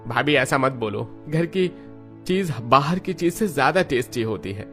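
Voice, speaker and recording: A man's voice: mid-range voice, lively intonation, normal speed, fairly bright tone. A man playing a good-natured father of a poor family in a cartoon story. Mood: cheerful. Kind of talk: cartoon dialogue acting. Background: background music.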